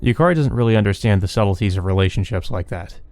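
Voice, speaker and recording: A woman's voice: a bass voice, animated, fast talker, darkish tone, no room sound. A woman reading visual novel narration aloud with casual commentary of her own. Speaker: let's player.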